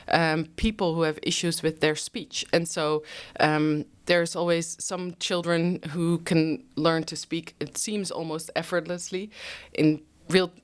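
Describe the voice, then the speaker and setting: Smooth-voiced Dutch woman explaining carefully. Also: fairly low pitch. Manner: thoughtful, many ums, careful explaining. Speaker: guest researcher. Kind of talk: interview answers.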